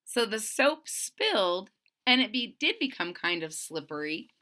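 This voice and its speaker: A woman reading a storybook aloud for children and retelling it simply, in a deliberate, explanatory way. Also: mid-range voice, big pitch swings, pushed and bright. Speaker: storybook reader.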